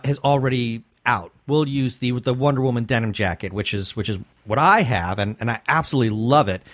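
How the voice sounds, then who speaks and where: A man working as a webcast interviewer, asking questions in a casual, conversational style. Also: a little high.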